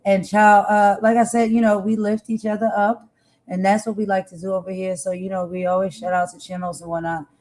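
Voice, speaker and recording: A woman host with a slightly raspy voice, chatting casually and loosely with lots of fillers and slang. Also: medium pitch, little pitch movement, fairly quick, a little mellow. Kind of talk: casual chat.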